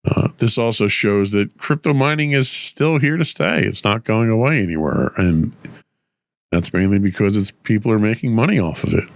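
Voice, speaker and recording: A man hosting a tech news podcast, delivering measured, explanatory commentary with a voice slightly rough from a cold. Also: lowish voice, dry recording. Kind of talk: news commentary, partly read from script.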